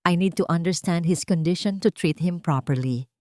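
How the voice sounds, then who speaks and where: A woman's voice: lowish voice, normal speed, dark tone, no echo, lightly acted. A woman narrator acts out a private nurse with a secret. She is composed, polite and professional, guarding herself in an audio romance drama. The sound is close and very dry. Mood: controlled, professional. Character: composed, secretive young nurse hiding a mother's identity.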